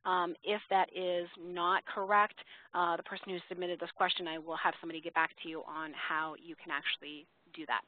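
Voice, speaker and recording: Casting: woman webinar host, lowish voice, halting and polite, thin telephone-quality audio. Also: level tone. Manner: polite, formal address to audience. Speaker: webinar presenter for a software company. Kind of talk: informal webinar question-and-answer session. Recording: tinny phone-line webinar audio.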